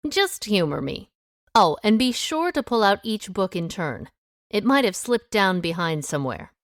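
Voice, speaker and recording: Female amateur detective played by an actress: confident, wry, animated delivery, dry close sound, radio mystery. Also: medium pitch, dry recording, natural voice. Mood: brisk, probing. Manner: brisk, methodical, interrogating. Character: confident, wry amateur sleuth woman questioning suspects. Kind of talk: audio drama acting.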